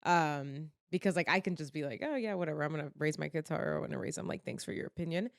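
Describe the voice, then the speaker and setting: A woman's voice: deep voice, quick pace, creaky, no room sound, clean studio sound. A young woman co-hosting a casual podcast, speaking candidly and informally with some swearing. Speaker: podcast co-host.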